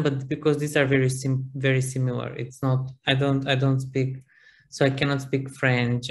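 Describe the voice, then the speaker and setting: A man whose first language is Macedonian speaks in English during an online class discussion, pausing often and searching for words. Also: mid-range voice, vocal fry. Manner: hesitant, searching for words, many fillers.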